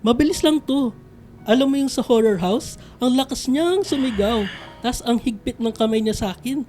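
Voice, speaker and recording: A man's voice: very high-pitched, normal speed, dark tone. An actor playing a chatty, easygoing young man in love in a radio drama. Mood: excited, chatty. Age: young adult. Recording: music behind the dialogue.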